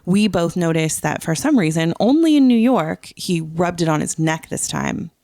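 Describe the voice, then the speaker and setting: A woman's voice: lowish voice, animated, normal speed, darkish tone, dry recording. A woman co-hosting a casual fan podcast, chatting conversationally with plenty of "like" thrown in. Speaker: podcast co-host.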